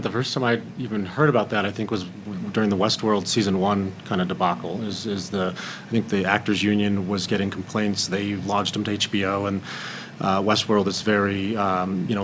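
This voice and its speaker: A man talks casually on a discussion panel, measured and matter-of-fact. His voice is fairly low and very flat in pitch, with slight room noise. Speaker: panel guest from film industry. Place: live panel discussion at a festival.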